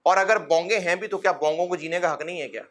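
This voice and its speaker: Middle-aged man, accounting lecturer: bright, forward voice, pitched a touch high, coaxing and fatherly with the class. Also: fast. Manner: fatherly and encouraging, addresses students as son; rhetorical questions, gentle humor.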